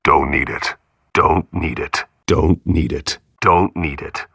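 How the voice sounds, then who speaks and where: An actor voicing a grim, commanding masked vigilante with a terse delivery and gravelly voice in a video game. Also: male voice, a bass voice, steady tone, slow, a bit bright, no room sound, clean studio sound, full character voice. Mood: grim, menacing. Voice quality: gravelly, husky. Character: brooding masked male vigilante, grim and menacing.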